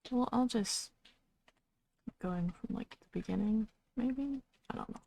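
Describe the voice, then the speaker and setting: Creaky-voiced woman streaming a video game. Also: fairly high pitch, unhurried, mellow, highs cut off, a little hiss or hum. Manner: musing aloud to self. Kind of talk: casual live gameplay commentary. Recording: game music behind the voice. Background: video game music.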